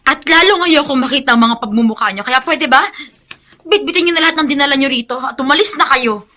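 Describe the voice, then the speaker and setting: A woman's voice: high voice, fast talker, bright, forceful tone. An actress playing a bitter, proud young woman in a radio drama, speaking curtly and with scorn. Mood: bitter, hostile. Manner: curt, scornful.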